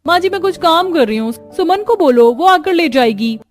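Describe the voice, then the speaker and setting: A woman's voice: very high-pitched, expressive, normal speed, soft background music, voice pushed up. A woman voicing a lazy, jealous elder sister-in-law in a cartoon. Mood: sulky.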